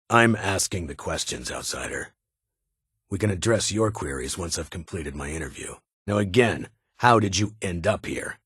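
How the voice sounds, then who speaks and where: A man's voice: low voice, fairly bright tone, breathy edge, no echo, clean studio sound, heavily acted. A man playing a stern, guarded military security chief in a video game, with a clipped, authoritative and brusque manner. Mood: curt, commanding. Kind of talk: video game character dialogue.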